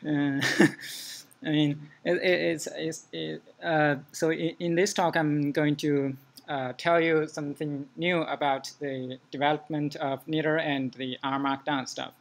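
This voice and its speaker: A young man from China with a Chinese accent, giving a modest, halting technical talk at a user group meeting. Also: on the high side, level tone, vocal fry. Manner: modest, hesitant restarts. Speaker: conference speaker. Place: meeting room.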